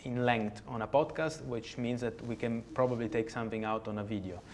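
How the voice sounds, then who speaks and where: Man, podcast guest, halting second-language speech, mid-pitched voice. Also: a little mellow, slight background noise. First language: Italian. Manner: hesitant, many fillers and restarts in a second language. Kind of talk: conversational interview answers.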